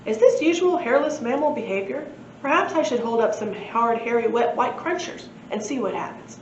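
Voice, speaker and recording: A woman's voice: on the high side, animated, normal speed, lightly acted. A woman reading a storybook, voicing a pompous, earnest male dinosaur professor with a formal, wordy, matter-of-fact manner. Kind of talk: storybook read-aloud. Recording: echoey room, muffled, faint noise.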